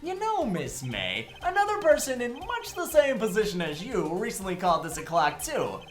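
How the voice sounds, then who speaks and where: A sassy, theatrical defense attorney wisecracks through a comedic courtroom game, voiced by a woman. The pitch swings extremely widely, and music plays faintly behind. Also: wildly swooping pitch, normal speed. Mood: dramatic. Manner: sassy, theatrical, joking asides. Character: cheeky, dramatic, wisecracking male defense attorney. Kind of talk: comedic visual novel game fandub.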